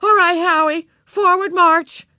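A woman's voice: very high-pitched, fairly even intonation, a little slow, pushed and bright, no echo, studio quality, pitched above the performer's own voice, full character voice. A woman voicing a responsible older sister in a storybook, scolding and giving orders with exasperation. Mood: brisk ordering.

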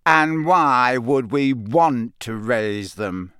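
A man plays a cold, sneering teenage boy who drags out his sarcastic words. The delivery is slow and pointed, and the recording is dry and close. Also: medium pitch, lively intonation, smooth, dry recording. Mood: mocking. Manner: sarcastic, drawling.

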